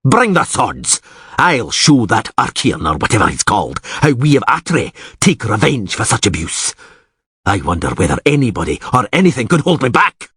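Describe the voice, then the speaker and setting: A man playing a proud nobleman who shouts and demands revenge. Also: mid-range voice, wildly swooping pitch, moderate pace, husky, no room sound, studio quality, full character voice. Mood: vengeful. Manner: shouting, vengeful. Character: proud hot-blooded nobleman.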